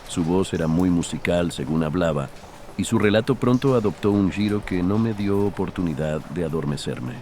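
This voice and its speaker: A man narrating a literary horror story in a measured, solemn way. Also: low voice, animated, a little mellow, a little hiss or hum. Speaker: audiobook narrator.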